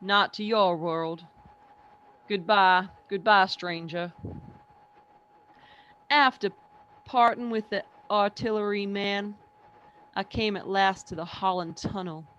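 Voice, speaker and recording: A performer playing a sombre professor who survived an invasion, narrating in measured, literary radio play style. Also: mid-range voice, a bit bright, clear, resonant tone, muffled recording. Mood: grave, resolute.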